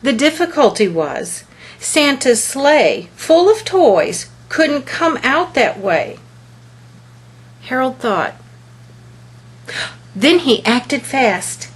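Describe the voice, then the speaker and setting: Lady doing a kids book read aloud, really slow n deliberate, expressive, bit of a husky voice. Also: high voice. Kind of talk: scripted children's picture book reading.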